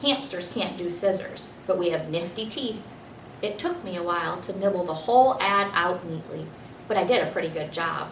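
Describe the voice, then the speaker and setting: A woman's voice: on the low side, lively intonation, moderate pace. Woman reading a children's story, echoey room. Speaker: teacher reading a children's book aloud. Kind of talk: expressive scripted storybook narration. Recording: echoey room, distant mic, faint noise.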